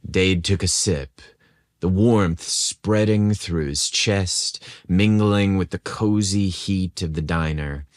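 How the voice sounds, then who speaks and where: A man with a deep voice narrates romantic fiction. His delivery is slow and intimate, as if telling the tale to one listener. The sound is dry and close, with no room around it. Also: dry recording. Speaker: story narrator. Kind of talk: scripted romantic story narration.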